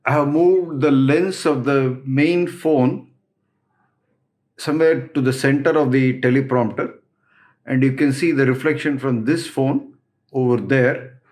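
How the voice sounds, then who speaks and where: A man methodically explaining equipment as the presenter of a tech tutorial. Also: mid-range voice.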